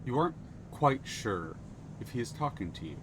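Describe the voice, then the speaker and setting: A man's voice: medium pitch, big pitch swings, normal speed, noisy background. A man narrating a story game aloud on a live stream, reading expressively. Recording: music playing under the voice.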